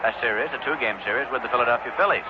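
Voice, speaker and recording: An American man calling baseball play-by-play as live radio commentary in a measured, explanatory way. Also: mid-range voice. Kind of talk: live radio sports commentary with sponsor read. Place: open-air ballpark broadcast booth. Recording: dull vintage AM radio, loud crowd, heavy noise. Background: loud stadium crowd and noise.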